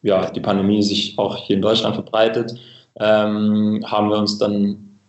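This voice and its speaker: A man's voice: lowish voice, completely flat delivery, measured pace, dull audio. A man from England, a native English speaker with an English accent, chatting casually and hesitantly as a podcast guest. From Cambridge, England. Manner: hesitant, searching for words. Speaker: podcast guest, company spokesman. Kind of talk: casual interview chat. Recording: roomy, echoing remote connection.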